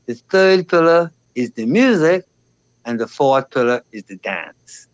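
A man's voice: mid-range voice, sing-song, no echo, highs cut off. A man with a Bahamian accent, a dance educator talking easily as a guest, chuckling now and then. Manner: easygoing, chuckling, measured when explaining. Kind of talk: casual interview talk.

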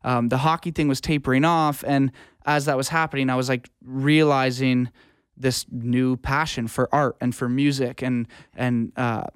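A man's voice: mid-range voice, fairly even intonation, moderate pace, clear, resonant tone, no room sound, studio quality. A young adult man telling his story as a guest in a casual, informal conversational style. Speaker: interview guest, audio engineer.

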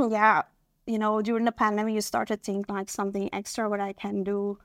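A woman's voice: medium pitch, completely flat delivery, fairly quick, dry recording, clean studio sound. A woman in her early thirties from Belgium, talking casually as an interview guest. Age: early 30s. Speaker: podcast guest, entrepreneur.

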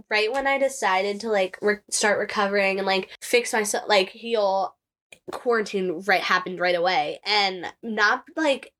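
A woman's voice: mid-range voice, fairly bright tone. Chatty young American woman with a lisp. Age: young adult. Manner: chatty, heavy use of "like". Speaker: podcast guest. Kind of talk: casual, candid chat.

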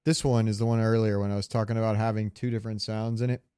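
A man's voice: fairly low pitch, fast talker, smooth, no room sound. A man hosting a podcast in casual fan chat, talking freely and profanely. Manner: profane, animated retelling with quoted lines. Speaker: podcast host.

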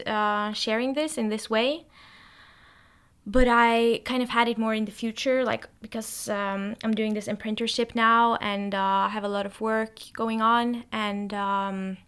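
Young norwegian woman, bright clear voice, tired, hesitant, thinking aloud. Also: fairly high pitch. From Norway. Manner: hesitant, reflective, many fillers. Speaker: podcast host. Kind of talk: casual solo monologue. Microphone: testing a new microphone.

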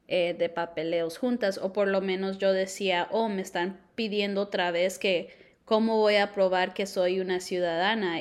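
A young woman co-hosting a podcast, chatting casually and mixing in English words. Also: on the low side. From Colombia. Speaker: podcast co-host. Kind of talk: casual chat.

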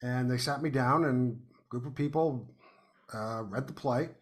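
A middle-aged or older American man from New York City, chatting casually as an interview guest and telling anecdotes in an animated way. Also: mid-range voice, moderate pace. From New York City, United States. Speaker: podcast guest, playwright.

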